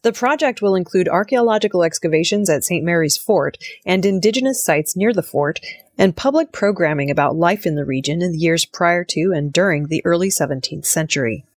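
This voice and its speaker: A woman reading scripted news in a measured, formal manner. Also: on the low side, lively intonation, no room sound, clean studio sound. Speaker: news reader.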